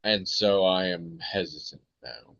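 A man's voice: low-pitched, lively intonation, unhurried, gravelly, a bit bright, dull audio, slight background noise. A man with an American accent hosting a podcast, chatting in a rambling, hesitant way with many fillers and restarts. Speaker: podcast host. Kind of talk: casual chat.

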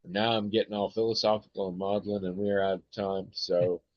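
An American man hosts a podcast in a loose, rambling way, stopping and restarting his sentences and filling pauses with ums. His voice sits on the low side, and the recording sounds dry and a little dull. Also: little pitch movement, no room sound. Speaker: podcast host. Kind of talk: casual chat.

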